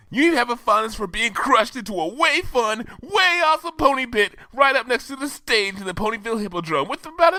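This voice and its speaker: A man voicing a brash, sporty young pony mare who talks in a loud, cocky, impatient way. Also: very high voice, no echo, studio quality, pitched above the performer's own voice, heavily acted.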